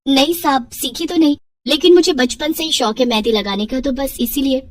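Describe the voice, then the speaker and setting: A woman's voice: high voice, a little fast, recorded from a distance, slight background noise, natural voice. A woman voicing a humble, earnest young wife in a story, polite and eager.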